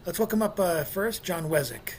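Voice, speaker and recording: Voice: mid-range voice, expressive, moderate pace. An open mic host speaking casually while welcoming and introducing readers. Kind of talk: casual hosting. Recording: noisy online call, distant sound.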